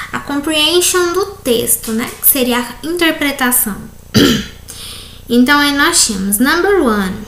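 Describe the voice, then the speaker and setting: Slow-talking Brazilian woman teaching English. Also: high-pitched, fairly bright tone. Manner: reads English questions slowly, explains in Portuguese with frequent "né?". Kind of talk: recorded class correcting exercises. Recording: echoey room, faint background noise.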